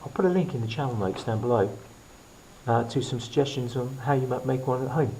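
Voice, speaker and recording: Noisy clicky recording, guy with mid voice doing a science demo like a teacher, short deliberate phrases. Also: medium pitch. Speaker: science teacher presenting a demonstration. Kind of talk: hands-on science demonstration, explaining to camera.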